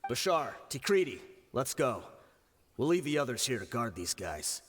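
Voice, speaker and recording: An actor playing a loyal, steady mercenary man in a video game, direct and firm. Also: medium pitch, moderate pace, long reverb tail, a little hiss or hum. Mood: resolute.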